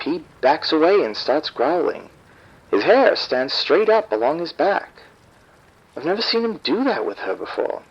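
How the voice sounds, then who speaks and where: A man's voice: on the high side, breathy edge, full character voice. An actor playing an irritated, proud husband and father who speaks curtly and defensively to the police over the phone. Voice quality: curt, defensive. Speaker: caller. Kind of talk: audio drama acting. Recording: dull phone line.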